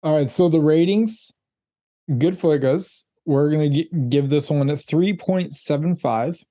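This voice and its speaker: A man co-hosting a casual movie review podcast, reading out ratings and box office numbers in a relaxed way. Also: fairly high pitch, a little slow, no echo. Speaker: podcast co-host.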